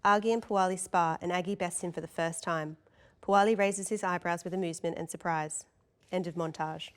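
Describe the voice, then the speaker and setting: A woman with a lowish voice delivers scripted narration. She reads out scene headings and action lines as the narrator of a dramatic table read. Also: fairly even intonation, fairly quick, clean tone. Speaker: narrator reading stage directions.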